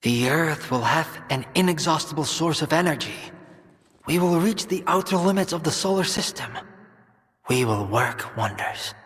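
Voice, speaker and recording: An actor playing an evasive, idealistic scientist in a video game, speaking in a measured, persuasive, clinical way. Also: male voice, fairly high pitch, animated, a bit bright. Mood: visionary. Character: evasive, idealistic male scientist doctor reassuring a soldier. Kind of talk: dramatic video game dialogue. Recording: long reverberant hall echo.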